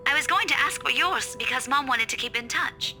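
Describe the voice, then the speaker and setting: A woman's voice: high voice, a little fast, bright, forceful tone, soft background music. A woman playing a friendly, awkward young schoolteacher in a visual novel, speaking in informal, clipped, hesitant phrases.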